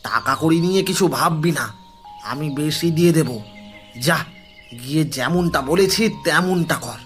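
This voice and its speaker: A shady, bossy ringleader, played by a man, speaks in a slightly high male voice. His delivery is curt, hushed and urgent, in the manner of an audio horror story, with soft music behind him. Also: measured pace.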